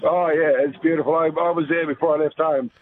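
A man's voice: on the high side, steady tone, background noise. New man on a tinny phone line. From New Zealand. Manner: friendly, halting. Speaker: caller.

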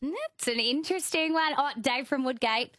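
An Australian woman hosting a talk radio show, brisk and upbeat as she moves the chat along. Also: sits high, lively intonation, a bit bright, dry recording, studio quality. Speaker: radio host.

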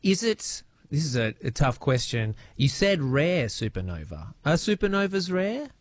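A man's voice: on the high side, big pitch swings, normal speed, no echo. A man hosting a radio show in a playful, jokey way. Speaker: radio host. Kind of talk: casual radio chat.

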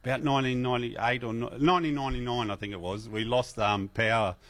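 A middle-aged man with an Australian accent, a festival MC chatting as a radio interview guest in a relaxed way, with many fillers and restarts. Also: mid-range voice, fast talker, no echo.

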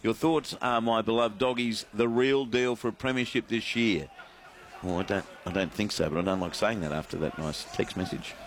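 A man's voice: fairly low pitch, normal speed. An Australian man hosting a sports radio show, chatting with co-hosts and reading out listener texts. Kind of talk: casual sports radio banter.

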